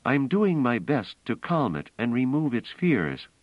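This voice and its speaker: Audiobook role: gentle, firm young woman, played by a man, lifted well above his natural pitch, emphatic, protective, slightly muffled recording. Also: big pitch swings, a little slow, dry recording. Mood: firm, urgent. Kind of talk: audiobook character voice.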